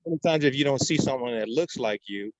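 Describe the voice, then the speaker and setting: Male, American, rapid conversational delivery, informal group sharing, slightly lo-fi sound. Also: mid-range voice, no room sound. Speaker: workshop participant.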